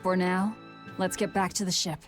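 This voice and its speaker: A woman playing a brusque, hardened young antiheroine in an English game dub, curt and deadpan. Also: lowish voice, moderate pace, over music, lightly acted. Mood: cool, curt.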